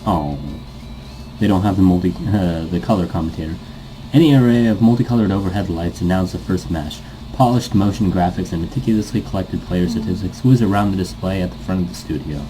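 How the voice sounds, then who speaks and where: A man's voice: sits low, a little fast, noisy background. A man doing a casual gaming playthrough, reading game text aloud and rambling off on tangents. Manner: rambling, easily sidetracked. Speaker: let's player reading a visual novel aloud.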